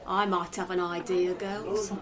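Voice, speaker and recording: A woman's voice: fairly low pitch, level tone, a little slow, crowd noise, lightly acted. A woman playing a wry, conspiratorial older woman who plots with her friends. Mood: scheming.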